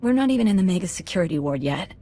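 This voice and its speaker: Eager, low-voiced hacker convict. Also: female voice, lively intonation, rapid-fire, dark tone. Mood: focused.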